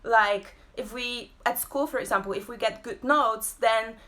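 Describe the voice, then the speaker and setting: A woman talks casually from her home, with a slightly high voice. She speaks slowly, pausing often with fillers as she gathers her thoughts. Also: pushed and bright. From Poland. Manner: hesitant, many fillers and pauses. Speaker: host and abstract painter. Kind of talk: casual live-stream talk.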